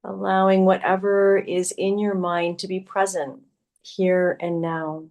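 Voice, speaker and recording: A woman yoga teacher leading a guided meditation in a soothing, gently instructive way, leaving long pauses between phrases. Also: on the low side, little pitch movement, highs cut off.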